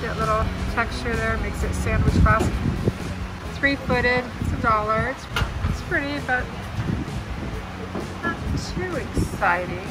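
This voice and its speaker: A woman with a fairly high voice talks casually through a shop-along vlog. She thinks aloud in a chatty way, recorded on a handheld phone in a big store with music in the background. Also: measured pace, long pauses, rough recording. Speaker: vlog host, thrift store reseller. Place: large indoor warehouse-style thrift store. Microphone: handheld phone filming the shelves.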